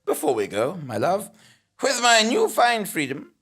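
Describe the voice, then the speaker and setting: A man's voice: sits high, wildly swooping pitch, measured pace, fairly bright tone, breathy edge, heavily acted. A man playing a melodramatic, lovelorn, disgraced male clerk in an audio comedy drama, theatrical and grandiose. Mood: grandiose scheming. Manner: theatrical, grandiose, swooping between murmurs and cries.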